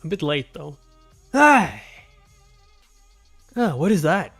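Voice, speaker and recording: A man's voice: a little high, sing-song, slow pace, a bit bright, husky, soft background music. A man reading a webtoon aloud and reacting casually, exclaiming and swearing with mocking disbelief. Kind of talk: casual live reaction and read-along.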